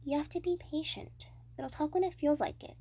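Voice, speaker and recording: A woman's voice: sits high, moderate pace, mellow, voice pushed up. A woman voicing a proud, stubborn little boy of six in a storybook, matter-of-fact and a bit boastful.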